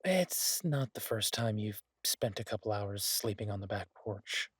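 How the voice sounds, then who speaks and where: Husky, low-voiced easygoing boyfriend chef, acted by a man. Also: animated, unhurried, no room sound, clean studio sound. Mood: tender, teasing. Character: easygoing, affectionate male chef, devoted partner of a werewolf. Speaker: audio roleplay performer. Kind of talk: intimate boyfriend roleplay audio, casual one-sided chat.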